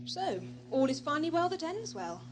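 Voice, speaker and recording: A woman's voice: high voice, big pitch swings, moderate pace, music in the background. A woman playing an eager, teasing young companion to a time traveller in an audio drama. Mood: puzzled, insistent. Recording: noisy lo-fi 1980s amateur audio drama.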